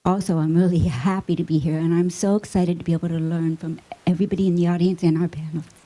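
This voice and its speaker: A woman's voice: lowish voice, level tone, fast, warm tone. An American woman, a museum docent, introducing herself in a friendly, cheerful way.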